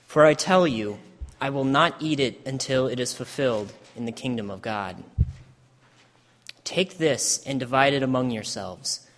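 A man playing a solemn religious teacher in a dramatic scripture reading, speaking in a measured, declamatory way with long hall reverb. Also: medium pitch, fairly bright tone, natural voice. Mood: solemn, tender. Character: Jesus, solemn adult male teacher at his last supper.

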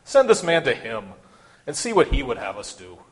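A man playing a forceful, exasperated Roman governor in a dramatic scripture reading, declaiming in a commanding, emphatic way. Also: mid-range voice, wildly swooping pitch, normal speed, low-quality recording.

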